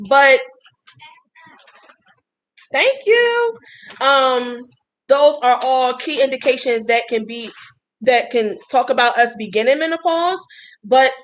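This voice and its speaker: A woman's voice: a little high, rough recording. A woman in her thirties hosting a live stream, talking in a chatty, folksy way and calling her viewers "y'all". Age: 30s. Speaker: host. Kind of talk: casual live-stream talk.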